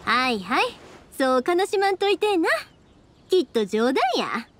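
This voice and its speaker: A woman's voice: sits high, big pitch swings, measured pace. A woman voicing a cheerful, big-sisterly young fireworks maker in a video game, encouraging and playful, with a Kansai accent. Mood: reassuring.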